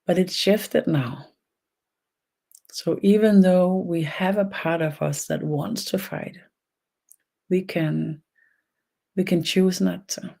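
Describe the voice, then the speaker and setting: A speaker from Denmark with a Danish accent and a gravelly creak leads a guided energy meditation online, speaking haltingly in short phrases with long pauses. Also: mid-range voice, fairly even intonation, warm tone. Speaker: spiritual energy healer leading an online session. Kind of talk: slow guided meditation and channeled talk.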